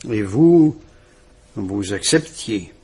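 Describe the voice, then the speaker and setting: An actor playing a calm, kindly police commissioner in a vintage radio drama, speaking in a measured, courteous and reassuring way. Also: male voice, lowish voice, breathy edge, full character voice. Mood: probing, polite.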